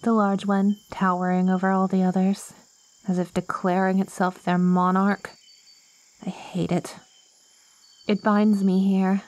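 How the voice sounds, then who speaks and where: A woman's voice: medium pitch, little pitch movement, a little slow, darkish tone, no room sound, natural voice. A woman playing an ancient, lonely plant girl in an ASMR roleplay, clingy and curious, with quirky, wordy, theatrical phrasing. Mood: wistful, matter-of-fact. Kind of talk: ASMR roleplay, acted monologue to the listener.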